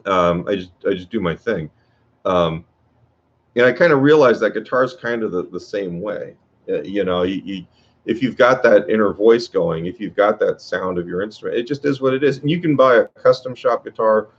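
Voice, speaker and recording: A man teaching guitar in a casual workshop talk, with a low voice and a rambling way of speaking, full of fillers and restarts. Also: moderate pace. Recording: dull lo-fi sound.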